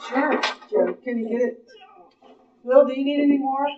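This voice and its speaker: A woman's voice: high voice, moderate pace, a little hiss or hum. An Appalachian American woman leading a small workshop on essential oils, chatting casually and listing blends to her group. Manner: friendly, chatty, lists items off. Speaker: workshop leader handing out essential oil blends. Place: meeting room, small group. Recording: distant roomy recording, muffled.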